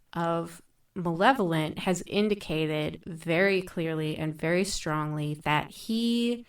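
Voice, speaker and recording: A woman in a thoughtful podcast discussion, speaking in a deliberate, measured way with emphatic phrasing. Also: lowish voice, distant mic.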